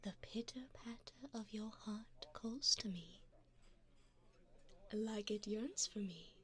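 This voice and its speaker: Slow, seductive vampire sugar mommy, played by a woman. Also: mid-range voice, fairly bright tone. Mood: seductive, hungry. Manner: poised, formal, teasing, often breathy and half-whispered. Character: seductive, wealthy vampire woman, doting and predatory. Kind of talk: ASMR roleplay, addressing the listener.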